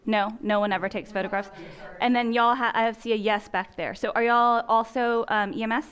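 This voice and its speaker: A woman's voice: a little high, steady tone, fairly quick, a bit bright. A woman leading an interactive training session, asking the room questions in a conversational way, in a large conference room on a lapel mic.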